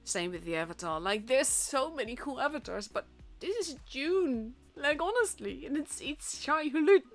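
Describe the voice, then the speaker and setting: A woman's voice: high-pitched, animated, normal speed. Chatty Dutch woman casting a stream. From Netherlands. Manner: chatty, rambling, frequent fillers and restarts. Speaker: esports caster and streamer. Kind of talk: casual live-stream commentary. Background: faint stream music.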